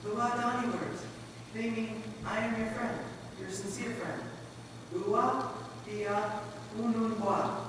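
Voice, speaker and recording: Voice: mid-range voice, fairly even intonation, slow, long pauses, natural voice. A stage performer as an earnest young son of a missionary pilot, narrating and pleading in a theatrical reading. The voice sits far from the mic in a cavernous, noisy hall. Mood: anxious, pleading. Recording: very echoey hall from afar, noisy.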